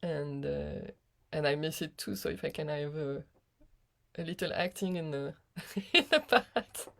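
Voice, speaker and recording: A woman's voice: deep voice, animated, a little slow, vocal fry. A young woman from France with a French accent, chatting casually as a guest and laughing often mid-sentence. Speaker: guest singer. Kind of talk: casual chat.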